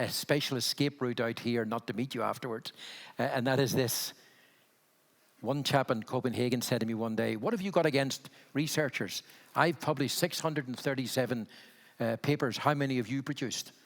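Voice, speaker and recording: Gravelly Belfast man in his sixties. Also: medium pitch, long reverb tail. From Northern Ireland. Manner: candid, wry, frequent restarts. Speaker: conference panel speaker. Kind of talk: conference panel talk.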